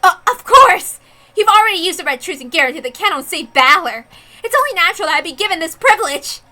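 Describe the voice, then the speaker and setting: A brash young female detective, acted out by a woman, high and piercingly bright. She speaks with theatrical, combative emphasis, as in a visual novel drama, over background music. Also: big pitch swings, fast talker, full character voice. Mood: defiant.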